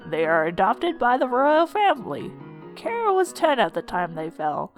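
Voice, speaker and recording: Folksy old monster schoolteacher played by a woman, wry and colloquial, highish and very animated, for a fan cartoon dub. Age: older. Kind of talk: character voice in fan comic dub. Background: music.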